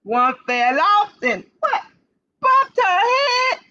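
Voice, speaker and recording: A woman hosting storytime for young children, reading aloud in an animated, sing-song way and putting questions straight to the kids. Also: very high-pitched, slow pace. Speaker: library storytime host for children. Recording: dull, lo-fi livestream sound.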